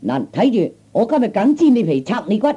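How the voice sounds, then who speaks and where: A man's voice: sits high, very animated, brisk, dark tone, husky, exaggerated voice. A man playing a cocky, blustering young street tough with a Cantonese accent, in old radio comedy style. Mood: threatening. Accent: Cantonese, Hong Kong or Guangdong. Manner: blustering, taunting, stammering interjections. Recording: dull old 1960s comedy record.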